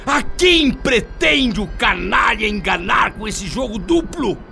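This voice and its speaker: A man's voice: very high voice, sing-song, slow, vocal fry, sharp tone, shouting, soft background music, full character voice. An actor playing an indignant, scheming middle-aged Brazilian husband and father in a radio soap, theatrical and emphatic. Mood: outraged.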